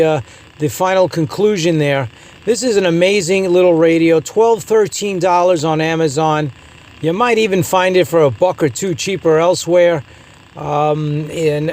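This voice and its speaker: A man with an American accent and a slightly high-pitched voice presents a hands-on product review. His delivery is casual and conversational, like a hobbyist host talking to his viewers. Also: a little mellow. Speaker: host of a radio review channel.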